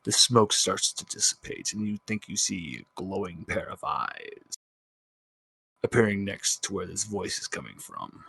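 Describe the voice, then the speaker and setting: A man's voice: deep voice, very animated, unhurried, gravelly, pushed and bright, breathy edge, poor audio. A man narrating a tabletop role-playing game as game master, improvising descriptions for the players.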